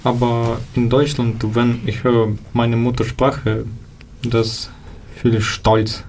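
A seventeen-year-old man from Ukraine with a Ukrainian accent, answering interview questions in halting speech full of fillers as he searches for words. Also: medium pitch, completely flat delivery, darkish tone, a little hiss or hum. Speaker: interview guest.

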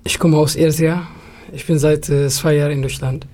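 Careful non-native man, flat voice. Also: a little high, moderate pace. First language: Tigrinya. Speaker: interview guest. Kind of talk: casual interview answers.

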